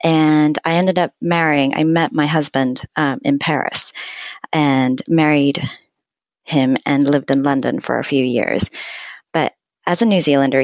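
A woman born in Japan and raised in several countries, a journalist guest who speaks in a measured, careful way. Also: low voice, no echo, muffled recording.

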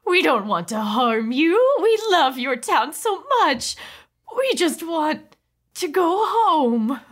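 A woman voicing a sheepish, pleading alien woman in disguise in a comedic table read, with drawn-out, over-sweet delivery. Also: sits high, big pitch swings, a bit bright, pitched above the performer's own voice, heavily acted.

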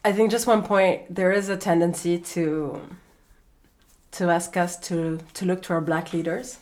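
Firm, low-pitched woman guest. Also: steady tone, measured pace, a little mellow. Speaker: podcast guest. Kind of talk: podcast conversation.

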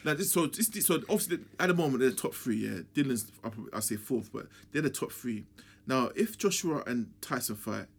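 Young man, husky, fast, emphatic. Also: mid-range voice, animated. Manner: rapid, emphatic, slangy. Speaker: sports scientist. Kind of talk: casual chat about boxing.

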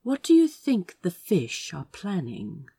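A woman's voice: lowish voice, wildly swooping pitch, slow pace, creaky, warm tone, breathy edge, pitched below the performer's own voice, full character voice. A woman voicing a playful, fussy man in an audio story, with a prim, mock-serious, teasing manner. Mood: tipsy, playful.

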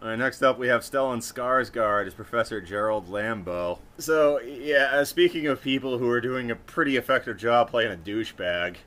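A man's voice: mid-range voice, normal speed, hardly any pauses, fairly bright tone, slight background noise. A man hosting a casual film discussion podcast. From Massachusetts, United States. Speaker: podcast host.

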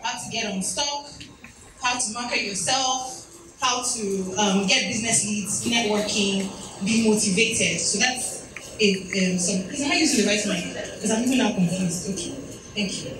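A woman's voice: medium pitch, normal speed. Woman, 30s, motivational speaker, chatty storytelling, distant mic, noisy hall. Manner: chatty storytelling with self-deprecating asides. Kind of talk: motivational talk. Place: event hall. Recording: echoey room from far off, noisy.

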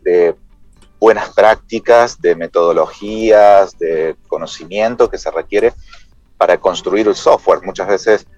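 Deep-voiced Dominican man, measured pace. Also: a little mellow, no room sound, lo-fi sound, soft background music. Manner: measured, with many pauses and "eh" fillers. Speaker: software company executive, guest. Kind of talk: radio interview.

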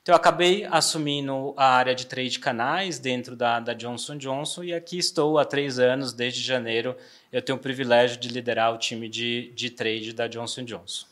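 A man's voice: medium pitch, moderate pace, hardly any pauses. Bahian man chatting easily in a business interview. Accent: Bahian Portuguese softened by São Paulo. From Bahia, Brazil. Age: 40s. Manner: relaxed, frequent fillers and tag questions. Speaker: trade marketing director guest.

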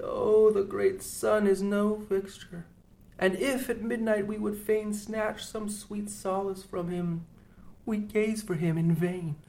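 A man reading an audiobook, voicing a pious, anxious first mate in a quiet, earnest murmur. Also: high voice.

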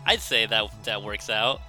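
A man's voice: lowish voice, huge pitch swings, takes it slowly, sharp tone, soft background music, poor audio. A young man as a podcast guest, laughing often and dropping playful asides. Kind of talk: casual podcast chat.

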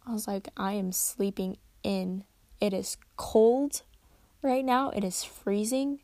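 A tired young woman hosting a casual solo podcast at home, rambling and correcting herself, her voice slightly raspy. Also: medium pitch, slow pace, dark tone, no room sound. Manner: rambling, chatty, self-correcting. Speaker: podcast host. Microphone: close desk microphone.

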